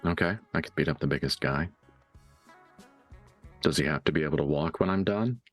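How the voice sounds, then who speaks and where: A man's voice: a bass voice, brisk, faint music behind. A man playing a deferential, blunt enforcer who loves a fight in a tabletop game. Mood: blunt, eager. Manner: blunt, obedient, says sir and ma'am.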